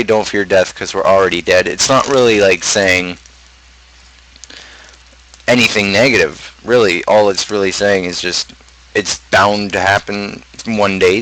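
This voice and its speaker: A man's voice: low-pitched, fairly bright tone, poor audio. A man streamer talking casually about his own song lyrics, rambling and thinking aloud as he goes. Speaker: streamer host and amateur rapper.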